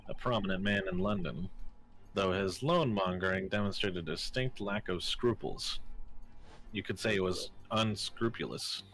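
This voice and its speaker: A man's voice: sits low, fairly bright tone, slight background noise, rough recording. A man voicing a suave, theatrical detective in a fan dub of a video game. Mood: deliberate, dramatic.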